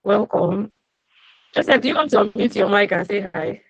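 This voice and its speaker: A woman's voice: medium pitch, lively intonation, moderate pace, gravelly, no echo. A woman hosting an online class over a video call, chatty and welcoming, greeting attendees by name. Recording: muffled dry video call audio.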